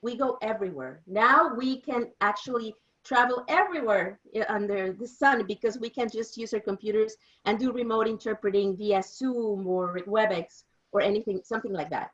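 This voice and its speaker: A woman's voice: medium pitch, moderate pace, hardly any pauses, a bit bright, muffled recording. A woman of fifty with a Mexican accent, a native Spanish speaker, giving an informal explanatory presentation as an interpreter.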